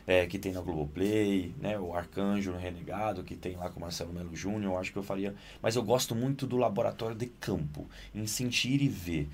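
Male actor guest from Naples, lowish voice, animated interview talk, faint room ambience behind. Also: slight background noise. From Naples, Italy. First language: Italian. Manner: animated, rises in pitch when excited. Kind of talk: interview conversation.

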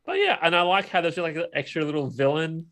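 A man's voice: on the high side, fast talker, pushed and bright. A man co-hosting a podcast, chatting casually about movies and games. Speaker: podcast co-host. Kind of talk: casual movie and game chat.

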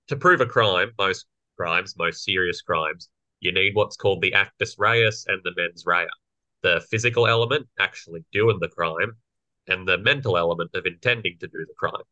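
A young man with a slightly croaky voice, co-hosting a casual political podcast and explaining legal points. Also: low-pitched, normal speed, pushed and bright, dry recording, highs cut off. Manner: explanatory, lawyerly. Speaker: podcast co-host.

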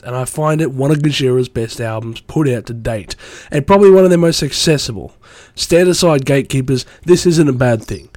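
A man's voice: medium pitch, a little mellow, no room sound. Man with a cold, dry close sound. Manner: enthusiastic, rambling. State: has a cold. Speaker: music review podcaster. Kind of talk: solo podcast commentary.

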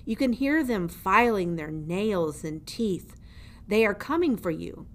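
A woman's voice: medium pitch, animated, measured pace. A woman voicing a grim, theatrical old male dungeon jailer in a storybook, ominous and emphatic. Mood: ominous warning. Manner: ominous, emphatic, refers to himself in third person. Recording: noticeable background noise.

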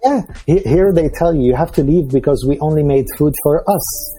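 Syrian guy chatting, halting and restarting a lot, dark mellow husky tone, faint music behind. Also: on the high side, rough recording. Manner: halting, many restarts and fillers. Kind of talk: casual conversation.

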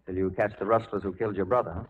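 A man's voice: lowish voice, fairly even intonation, rapid-fire, breathy edge. An actor playing a cool, commanding, menacing gambler gunman with clipped, sardonic formality in a 1940s Western radio drama. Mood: sardonic, threatening. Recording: muffled, noisy 1940s radio recording.

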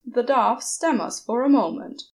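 A woman reading a story aloud as narrator, measured and steady. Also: on the high side. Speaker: podfic narrator.

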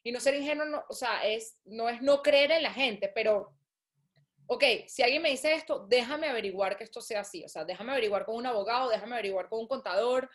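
Woman, from Venezuela, high voice, lively, acts out quoted people, casual interview. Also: a little fast. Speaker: guest, shoe designer.

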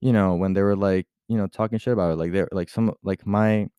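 Low, dark-voiced young man rambling. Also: steady tone, quick pace, clear, resonant tone, dry recording. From Puerto Rico. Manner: rambling, heavy use of filler "like", many restarts; switches into Spanish mid-talk. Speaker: podcaster. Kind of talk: casual personal monologue.

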